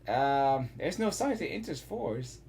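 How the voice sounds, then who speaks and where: A woman's voice: extremely low pitch, sing-song, unhurried, vocal fry, a little mellow, a little hiss or hum. A woman giving casual reaction commentary on a video game as she plays, often repeating her phrases. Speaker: reaction streamer.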